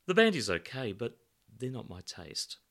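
A man's voice: medium pitch, huge pitch swings, moderate pace, a bit bright, voice pushed up, full character voice. A male narrator voicing a prim, uncool young man with a fussy manner. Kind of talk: audiobook character voice.

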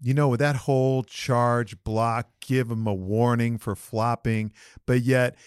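A man's voice: medium pitch, measured pace, clean tone, no echo, clean studio sound. Man ranting casually about referees. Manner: animated, opinionated, slangy. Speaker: podcast co-host. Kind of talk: casual sports chat.

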